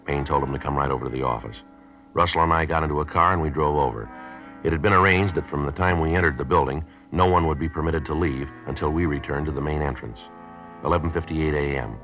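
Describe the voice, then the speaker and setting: A deadpan 50s radio cop, super low voice, talks fast and clipped, old dull recording. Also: male voice. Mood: matter-of-fact. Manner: clipped, deadpan, matter-of-fact. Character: stoic police sergeant narrating his case, calm and businesslike. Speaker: police detective and narrator. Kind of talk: 1950s radio police drama acting. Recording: dull vintage radio recording, faint music.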